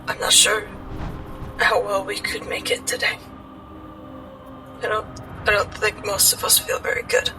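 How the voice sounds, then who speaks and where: A man's voice: high voice, completely flat delivery, a little slow, pauses often, far from the mic, over music, voice pushed up. A man playing a hurt, loyal, cautious survivor in tabletop roleplay, speaking carefully and hesitantly. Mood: worried.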